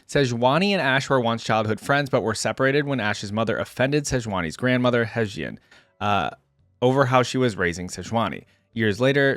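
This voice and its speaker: A man's voice: fairly low pitch, a bit bright, dry recording, clean studio sound. A man hosting a reaction video, reading lore aloud with casual, informal asides.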